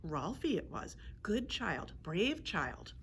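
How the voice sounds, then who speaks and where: A woman's voice: fairly low pitch, sing-song, takes it slowly, a bit bright, a little hiss or hum, heavily acted. A woman voicing an anxious, clumsy, childlike male griffin from a children's storybook, fretfully repeating short simple phrases. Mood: halting, earnest.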